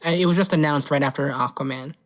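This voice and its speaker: A woman's voice: low-pitched, quick pace. A woman co-hosting a podcast, chatting casually about movie news in a playful, teasing way. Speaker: podcast co-host.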